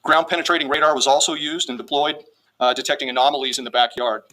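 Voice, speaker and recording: A man's voice: medium pitch, steady tone, quick pace, sharp tone, low-quality recording. A man reading out a formal press statement as a police spokesman, in official wording.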